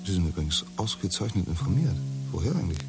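A man's voice: sits low, very animated, quick pace, over music. An actor playing an eager man who rambles on about a staged romance, gushing in long winding sentences. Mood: enthusing. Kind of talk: radio play acting.

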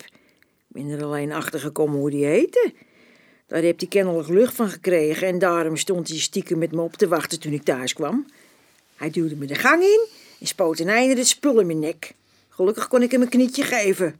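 An actress playing a feisty, nosy older auntie in a radio drama, chummy and cheeky in manner. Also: female voice, fairly low pitch, animated, moderate pace. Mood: curious, chatty.

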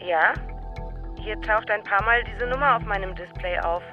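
A woman's voice: mid-range voice, normal speed, soft background music. An actress playing a hesitant, puzzled young woman on a phone call in a radio play.

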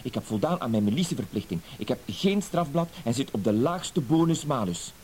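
An actor playing a timid, dutiful husband with wounded dignity, hesitant, wordy and apologetic, in a radio play. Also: male voice, medium pitch, expressive, brisk, a little mellow, lightly acted. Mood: flustered, indignant. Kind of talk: Dutch-language radio play acting. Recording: hissy old radio play recording.

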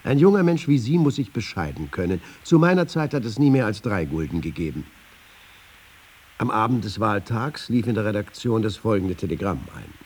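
An actor playing a dry raconteur who acts out a pompous newspaper boss in a radio feature. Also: male voice, lowish voice, big pitch swings, moderate pace, darkish tone, husky. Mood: dryly comic. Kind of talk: dramatised anecdote reading in a radio feature.

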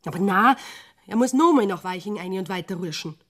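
Casting: nagging Bavarian woman, medium pitch, exasperated but caring, for a radio play. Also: animated, fairly quick, a bit bright. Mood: exasperated scolding. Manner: reproachful, down-to-earth. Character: scolding, exasperated Bavarian woman who worries about him. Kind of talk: radio drama acting.

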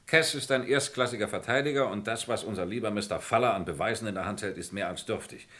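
An actor playing a concerned, protective gentleman in a vintage radio crime drama, speaking earnestly and emphatically. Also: lowish voice, quick pace. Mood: reassuring, concerned. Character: protective, concerned gentleman trying to reassure others.

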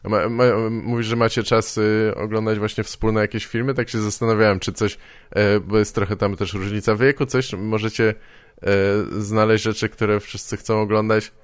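A man hosting a casual conversation, speaking in a halting, tentative way. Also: fairly low pitch, level tone, dry recording. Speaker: podcast host, interviewer.

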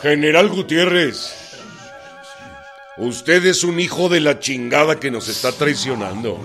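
An actor playing a blunt, hot-tempered Mexican revolutionary general in a historical radio drama. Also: male voice, fairly high pitch, unhurried. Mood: angry, menacing. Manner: blunt, commanding, swearing. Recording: loud music and crowd behind.

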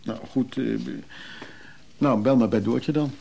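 Sly go-between, radio drama: dark, gravelly tone, dry, casual, cool. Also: male voice, medium pitch, fairly even intonation, a little slow, a little hiss or hum. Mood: dry, dismissive. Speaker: actor in a radio play. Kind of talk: naturalistic Dutch radio drama dialogue.

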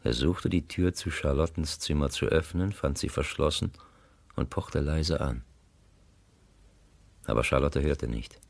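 A man narrating a literary radio play, grave, composed and deliberate, on a close studio mic. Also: a bass voice, creaky, no room sound, exaggerated voice. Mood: grave, intimate.

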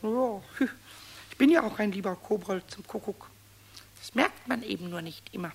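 Casting: tiny mischievous kobold for a children's radio play, creaky high voice, muttered repeats, noisy vintage recording. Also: male voice, animated, normal speed. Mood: musing, sly. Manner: muttering to himself in repeated phrases. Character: small cheeky male kobold, mischievous but soft-hearted.

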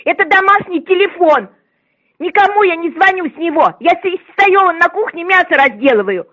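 A woman's voice: very high voice, animated, normal speed, sharp tone. A woman in her sixties on a phone call, scolding and insistent, repeating herself. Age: 60s. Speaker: prank call target. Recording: muffled phone line.

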